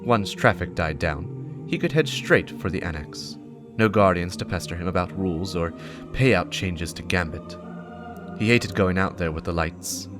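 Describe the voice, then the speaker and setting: A man's voice: low voice, expressive, a little slow. A man reading scripted dramatic lore narration as a storyteller and channel host, with music behind him.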